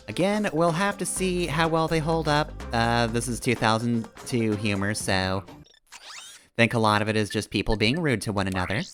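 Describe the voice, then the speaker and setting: Wry male game streamer with lively intonation. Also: medium pitch. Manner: rambling, analytical, wry. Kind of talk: casual live gameplay commentary. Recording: game music behind the voice. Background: video game music.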